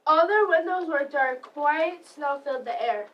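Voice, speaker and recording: A woman's voice: sits high, expressive, measured pace, dull audio. A girl of about eleven or twelve reading a story aloud as a narrator in readers theater. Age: child, about 11 to 12. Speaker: student narrator. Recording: distant mic, noisy live stream.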